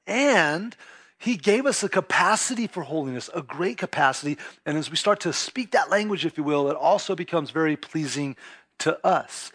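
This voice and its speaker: A man with a high voice preaches a sermon to his congregation. He is from California and keeps his delivery casual and conversational, often checking in with the listeners as he goes. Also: a bit bright. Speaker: pastor. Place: church.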